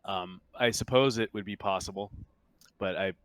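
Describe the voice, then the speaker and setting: A man acting as game master in a tabletop roleplaying game, speaking haltingly in character. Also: lowish voice, a bit bright, no room sound.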